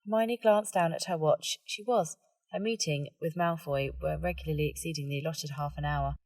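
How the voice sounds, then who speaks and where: A woman's voice: low-pitched, fairly even intonation, normal speed, a little mellow, no echo. A woman reading a story aloud as scripted audiobook narration.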